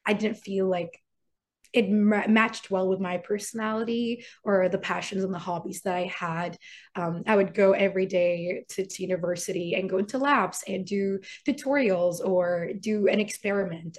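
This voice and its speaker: A woman's voice: mid-range voice, normal speed. Chatty young woman, podcast guest. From Iraq. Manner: chatty, laughs easily, many fillers. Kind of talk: casual interview chat.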